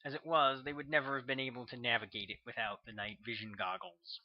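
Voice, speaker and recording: An author reading his own novel aloud as an audiobook narrator, with steady, measured storytelling on a slightly muffled recording. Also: mid-range voice.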